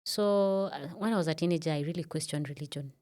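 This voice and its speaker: A woman's voice: sits low, quick pace, darkish tone. A Kenyan woman around thirty, a podcast guest in a studio, telling her story in a reflective way with many fillers. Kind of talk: casual interview talk.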